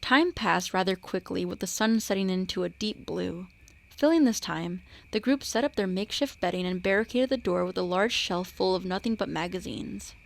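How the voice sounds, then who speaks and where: A woman's voice: medium pitch, normal speed, vocal fry. A woman reading scripted narration for a radio drama as its narrator.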